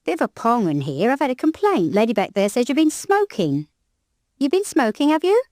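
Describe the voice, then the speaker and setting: A nosy, blunt railway ticket collector, talking quickly in a raised, loud voice, as a woman plays him. His colloquial remarks come out clipped in a dry sound. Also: on the high side, huge pitch swings, no echo, pitched above the performer's own voice. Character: tall gruff male ticket collector, nosy.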